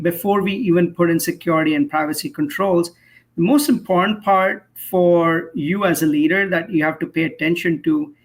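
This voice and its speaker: Higher-voiced man explaining as interview guest. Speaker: cybersecurity professional, interview guest.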